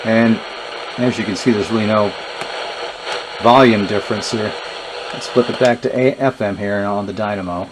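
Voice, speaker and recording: Low-voiced man over clear background noise. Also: fairly even intonation, moderate pace, pauses often. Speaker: vintage radio reviewer demonstrating gadgets. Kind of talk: casual unscripted product demonstration. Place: indoors in a basement. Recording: noisy recording with radio static. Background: radio static and hiss.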